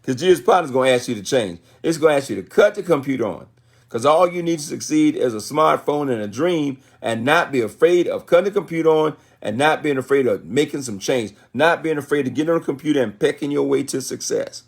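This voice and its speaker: Gravelly American motivational speaker, man in his sixties. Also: medium pitch, animated, a little fast. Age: 60s. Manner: emphatic, repeats phrases for effect; folksy, colloquial preaching. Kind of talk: motivational talk on a livestream.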